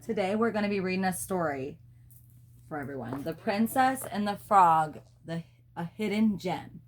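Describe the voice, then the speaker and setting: A woman's voice: fairly low pitch, animated, normal speed, a little hiss or hum. A woman reading a children's storybook aloud, as a mother does for her child.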